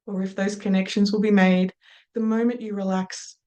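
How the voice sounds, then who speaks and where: A middle-aged woman, a hypnosis trainer, guiding a listener into trance in a slow, soft, trailing voice with repeated phrases. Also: mid-range voice, little pitch movement. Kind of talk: guided hypnotic induction.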